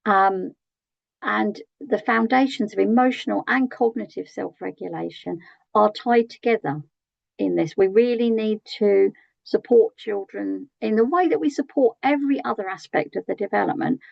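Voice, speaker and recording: A British woman with a croaky, gravelly voice leads an early years training session. Her delivery is chatty, and she often checks in with tag questions and pauses on "um". The sound is dry and a little dull. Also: medium pitch, dry recording. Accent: British English. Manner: conversational, asks tag questions, frequent ums. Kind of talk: training webinar talk.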